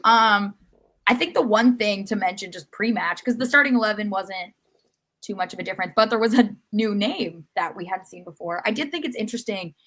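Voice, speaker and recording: A woman's voice: medium pitch, a little fast, fairly bright tone. A woman co-hosting a sports podcast, recapping matches in an informal, lightly joking conversational way. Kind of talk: casual match recap chat.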